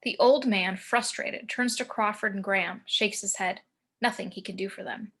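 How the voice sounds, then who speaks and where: Bright-voiced woman narrating screenplay action. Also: medium pitch, moderate pace. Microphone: home video call.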